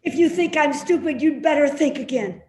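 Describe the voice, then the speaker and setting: A woman's voice: high voice, moderate pace, a little mellow, lightly acted. A woman acting in a play reading as a stubborn, frail old mother, defiant and accusatory. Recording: echoey room.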